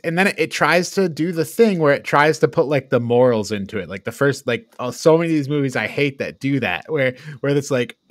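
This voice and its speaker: A man chats casually as a review show co-host. His pitch sits in the middle, and his delivery is halting and exasperated. The sound is dry, with little room in it. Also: no echo. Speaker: podcast co-host reviewing films.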